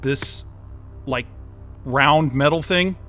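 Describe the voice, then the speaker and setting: An actor playing a nervous, disoriented job interviewee in an audio drama, hesitant, halting and defensive. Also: male voice, medium pitch, fairly bright tone, soft background music. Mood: confused, uneasy. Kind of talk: naturalistic audio drama acting.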